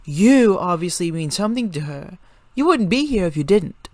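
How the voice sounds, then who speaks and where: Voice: medium pitch, sing-song, normal speed, no room sound, pitched above the performer's own voice. A performer voicing a frank, chatty, friendly girl who explains things matter-of-factly in a story reading.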